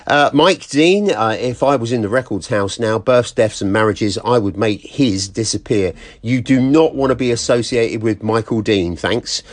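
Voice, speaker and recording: A man's voice: mid-range voice, dry recording, clean studio sound. A man presenting humorous commentary, wry and teasing, with offhand asides. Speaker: comic presenter.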